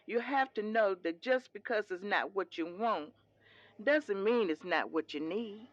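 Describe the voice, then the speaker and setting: A woman's voice: mid-range voice, expressive, unhurried, lightly acted. Woman acting as devout older mother, muffled recording. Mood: gently counseling. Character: devout older mother, firm but tender. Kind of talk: audio drama acting.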